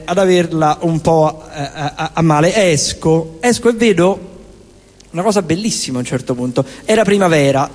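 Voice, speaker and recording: A man's voice: on the high side, big-room sound. A man with a Roman accent performing colloquial theatrical storytelling, repeating phrases rhythmically. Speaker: storyteller and singer-songwriter performing theatre and music. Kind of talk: theatrical storytelling and spoken song over guitar. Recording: loud guitar music under the voice. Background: acoustic guitar.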